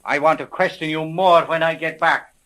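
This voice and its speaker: A bright, hard-edged male voice, a little high, for a hard-boiled police sergeant in a 1930s radio serial. He interrogates gruffly and slangily, bossy and full of suspicion. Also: steady tone, moderate pace. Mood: suspicious, badgering.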